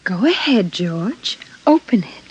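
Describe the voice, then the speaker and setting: A woman's voice: mid-range voice, very animated, slow, dull audio. An actress playing an anxious, frightened wife and mother in a 1950s radio drama, fretful and pleading. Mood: uneasy, insistent. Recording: slightly husky-sounding vintage 1950s radio recording.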